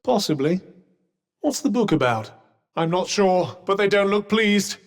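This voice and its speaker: An actor playing a haughty, well-spoken talking magic cuff in a video game, with a British accent and dry, sarcastic, formal delivery. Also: male voice, high-pitched, animated, unhurried. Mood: measured, wry. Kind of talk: scripted video game companion dialogue.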